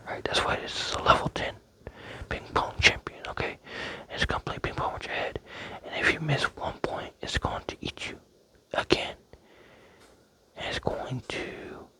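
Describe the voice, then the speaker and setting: A man's voice: medium pitch, bright, forceful tone. A young man rambling playfully in a close-miked ASMR whisper, with many fillers. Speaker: ASMR creator.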